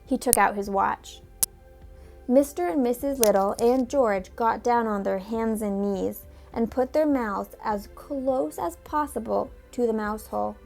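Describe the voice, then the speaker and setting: A woman reads a children's book aloud at a measured pace, pausing now and then to talk about the pictures. Her voice sits on the high side, with faint music playing underneath. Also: vocal fry, darkish tone. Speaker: storybook reader.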